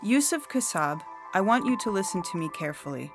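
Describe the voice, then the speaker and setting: A woman voicing a composed female judge who speaks in a measured, formal, coolly patronizing way in an audio story. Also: medium pitch, sing-song. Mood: stern, patronizing. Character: composed, condescending female judge of a virtual court. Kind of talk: science fiction audio story dialogue. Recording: music bed under the voice.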